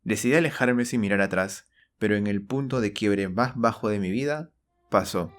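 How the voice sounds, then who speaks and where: A young man narrates a personal story in an earnest, confessional way. His voice is on the low side, with soft music underneath. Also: moderate pace. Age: young adult. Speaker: storyteller and channel host. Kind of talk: scripted autobiographical narration with acted dialogue. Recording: voice over background music.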